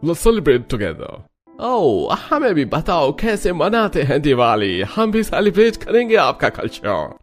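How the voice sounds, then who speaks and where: A man's voice: on the high side, very animated, slow pace, voice pushed up. A man voicing an eager, cheerful foreign neighbour in a cartoon, gushing and mixing in English. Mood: eager delight. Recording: music bed under the voice.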